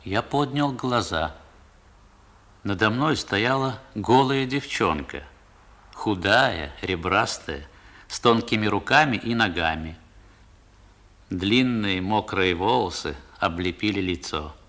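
An actor playing a grown man recalling his boyhood, narrating a 1960s radio drama in a measured, literary way with dramatic pauses. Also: on the low side, sharp tone. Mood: reflective.

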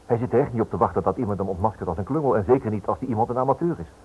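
An actor playing a stubborn, inquisitive husband turned amateur investigator in a radio play, insistent and dismissive. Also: male voice, lowish voice, very fast, breathy edge. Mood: placating. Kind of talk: Dutch radio play acting. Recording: muffled, band-limited old radio play recording.

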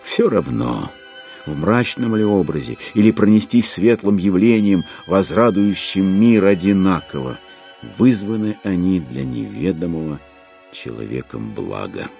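A solemn author, low and gravelly, musing aloud in a literary audio drama. He declaims with weighty pauses and wide swings of intonation, over music. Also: lively intonation, warm tone, heavily acted. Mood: impassioned. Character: solemn, philosophizing male author musing on human passions.

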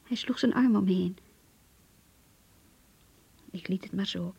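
A close, dry voice, mellow and breathy: a thoughtful young woman. She narrates intimately and reflectively, as if writing in a diary. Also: mid-range voice, unhurried, no echo, highs cut off, full character voice. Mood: confiding, tender. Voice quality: soft, breathy. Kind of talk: Dutch radio play monologue.

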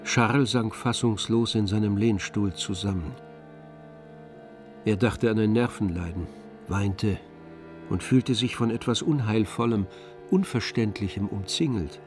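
A man reading scripted literary narration for a radio play in a measured storytelling manner. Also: lowish voice, darkish tone, soft background music.

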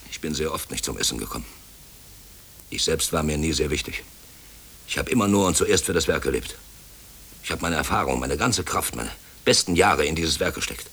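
Deep-voiced, stern company director. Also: male voice, lively intonation, fairly quick, gravelly, long pauses, fairly bright tone, husky, exaggerated voice. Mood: bitter, brooding. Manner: brusque, officious, brooding when alone. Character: harried, stern company director, self-pitying and overworked. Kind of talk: German radio play acting. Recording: hissy old radio play recording.

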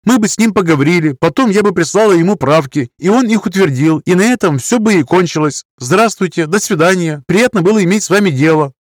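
A man's voice: high voice, lively intonation, brisk, hardly any pauses, no echo, clean studio sound, voice pushed up. A man voicing an anxious, defensive woman of nearly thirty in an audiobook, pleading and justifying herself. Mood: aggrieved. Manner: pleading, self-justifying, wordy. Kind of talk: audiobook character dialogue.

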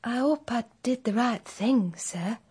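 Nervous young housemaid, working class english accent, highish slightly husky voice, radio drama. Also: female voice, unhurried, darkish tone. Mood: anxious, apologetic. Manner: deferential, unpolished grammar.

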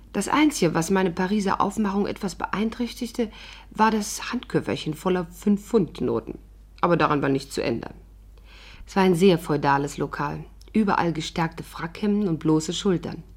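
An actress playing a witty, sceptical amateur adventuress in a 1950s radio drama, with an arch, playful manner. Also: female voice, lowish voice, husky. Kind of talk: 1950s German radio drama acting and narration.

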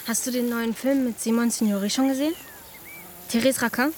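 Actress doing a chatty dreamy teen girl for a radio play, highish voice, natural and halting, outdoors w crickets. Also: steady tone, a little mellow, lightly acted. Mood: chatty, playful. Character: chatty, dreamy East German schoolgirl in her early teens. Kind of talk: naturalistic German radio drama acting. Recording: outdoor ambience with crickets, clear background noise.

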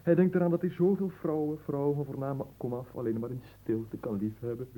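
A man's voice: medium pitch, steady tone, a little fast, warm tone, natural voice, low-quality recording. A man acting in a radio drama as a bored, capricious young nobleman, theatrical, languid and teasing. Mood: teasing, condescending.

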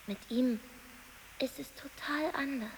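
A woman's voice: high-pitched, unhurried. An actress playing a tense, devoted young woman confiding about a troubled romance in a radio drama. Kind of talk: naturalistic radio drama dialogue. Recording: reverberant hall, clear hiss.

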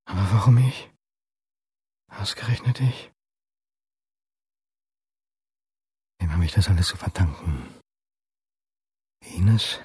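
An actor playing a brooding middle-aged historian in custody in a crime radio play, husky and introspective, murmuring to himself. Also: male voice, sits low, expressive, a little slow, darkish tone, dry recording, studio quality, exaggerated voice. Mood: troubled, questioning. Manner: introspective, murmured monologue dropping to a whisper.

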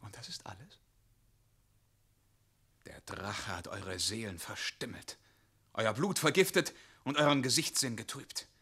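An actor in a radio play playing a resolute, earnest wandering hero who challenges others with intensity. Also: male voice, lowish voice, a little slow, vocal fry, long pauses, pushed and bright, husky, full character voice. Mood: earnest, probing. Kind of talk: German radio play acting.

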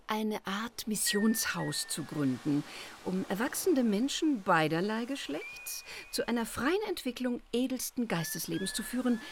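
A woman's voice: medium pitch, a little hiss or hum. An actress playing an idealistic lady author in an audio drama, speaking in lofty, eloquent, genteel phrases. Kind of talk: German audio drama acting.

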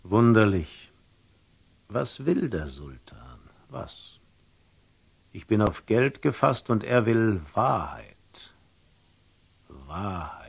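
An actor playing a wise, wary older merchant in a classical German radio drama, speaking verse deliberately and theatrically, often falling to a whisper. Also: male voice, on the low side, heavily acted. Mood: wary, pondering. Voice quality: husky, gravelly, often dropping to a whisper. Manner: deliberate, ruminative, theatrical storyteller with dramatic pauses.

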